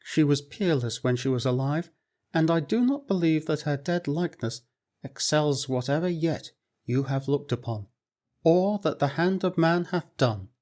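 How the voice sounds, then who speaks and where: A man voicing a proud, loyal court lady in a storybook reading, speaking in a stately, measured way. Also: a little high, lively intonation, a little mellow, natural voice. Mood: reverent.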